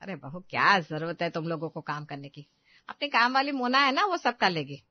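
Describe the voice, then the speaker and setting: A woman's voice: on the low side, expressive, normal speed, no room sound, voice pushed down. A woman as older Indian mother-in-law, fretful, exclaiming, questioning, family drama, close dry muffled sound. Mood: concerned. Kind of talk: Hindi family story acting.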